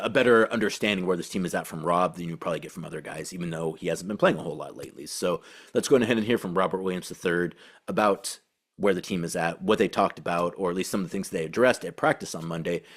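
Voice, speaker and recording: A man with a low voice delivers casual sports commentary as a podcast host. He talks in a halting, conversational way, repeating and rephrasing as he works toward his point. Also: husky. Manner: halting, repeats and restarts phrases. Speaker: basketball podcast host and team reporter.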